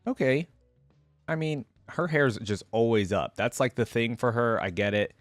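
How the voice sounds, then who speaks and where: A man's voice: medium pitch, animated, normal speed, dry recording, clean studio sound, soft background music. A man giving casual, informal reaction commentary with gamer slang, hosting for viewers. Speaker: host reacting to game content.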